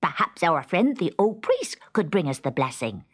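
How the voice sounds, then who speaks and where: A woman voicing an excitable, hopeful little male toy horse in a storybook, with an eager manner. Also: fairly low pitch, moderate pace, husky, no room sound, studio quality.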